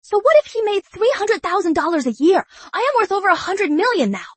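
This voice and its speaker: A woman's voice: very high-pitched, sing-song, fairly quick, no echo. An actress playing Amanda, a wealthy and arrogant career woman who talks in a scornful, raised voice. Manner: haughty, contemptuous, raised voice.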